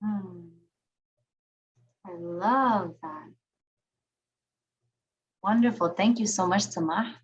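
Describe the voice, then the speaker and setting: Warm-voiced female group leader on a video call, steady middle pitch, slightly muffled sound; cheers on each participant. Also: very slow, pauses often. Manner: encouraging, affectionate, praises each speaker. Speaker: youth group facilitator. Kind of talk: online group check-in.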